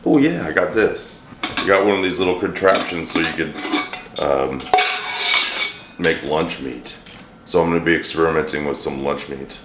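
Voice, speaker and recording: Deep hoarse guy rambling about his cooking, kinda joking around, echoey kitchen. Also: normal speed, long pauses. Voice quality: deep, a little hoarse from a throat bug. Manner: joking, rambling, dry self-deprecating humor. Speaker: vlogger, home cook. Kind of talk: casual cooking vlog chat. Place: home kitchen. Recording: echoey kitchen, faint hiss.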